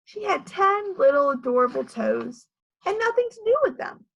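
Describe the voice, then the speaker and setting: A woman's voice: high-pitched, big pitch swings, moderate pace, vocal fry, a bit bright, dull audio. A woman reading a children's story aloud like a teacher, with questions to the listeners.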